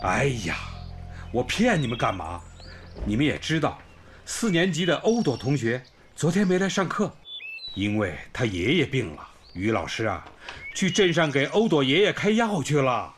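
A man's voice: medium pitch, sing-song, moderate pace, slight background noise, natural voice. A male actor playing a kindly, reassuring village primary school principal in a radio drama. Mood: soothing. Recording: music behind the voice.

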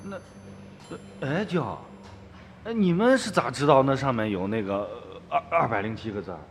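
An actor playing a nervous, evasive village nephew in a radio drama, stammering and hesitant. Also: male voice, mid-range voice, lively intonation, normal speed, slight background noise, natural voice.

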